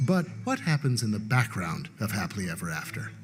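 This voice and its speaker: A man's voice: on the low side, big pitch swings, a little fast. A man narrating a show trailer in a dramatic, scripted style. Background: music.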